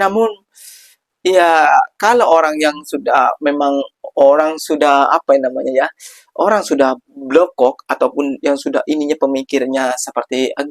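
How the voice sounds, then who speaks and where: A man's voice: medium pitch, dry recording. A man hosting a reaction video, chatting casually with viewers. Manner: chatty, repeatedly addresses viewers as "geng". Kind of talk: casual commentary.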